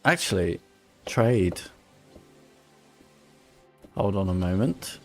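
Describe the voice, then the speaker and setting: A man's voice: low-pitched, expressive, drawn-out words. A man casually commentating as he plays a video game on stream, muttering and thinking aloud. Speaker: livestreamer playing a video game. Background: game music and horse sounds.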